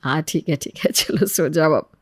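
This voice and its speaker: A woman's voice: low-pitched, moderate pace, dry recording, pitched below the performer's own voice. Woman playing adult husband, story drama, blunt, firm, dry recording. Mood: dismissive. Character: dismissive, then firm and loyal adult son and husband. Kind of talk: Hindi moral story voice acting.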